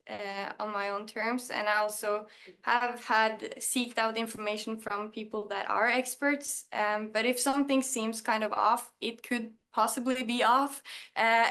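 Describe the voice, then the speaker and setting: A young woman from Norway with a Norwegian accent, giving a conference talk in her second language, hesitant with many pauses and restarts. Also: a little high, fairly bright tone. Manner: hesitant, many fillers and restarts, self-deprecating. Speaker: conference speaker. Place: conference hall.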